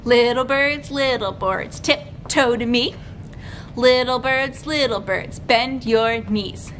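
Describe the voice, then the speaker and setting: A young woman leading a children's storytime, reading aloud with deliberate, clearly articulated phrasing as a librarian would for small kids. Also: on the high side, fairly bright tone, slight background noise. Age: young adult. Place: library room with bookshelves, indoors.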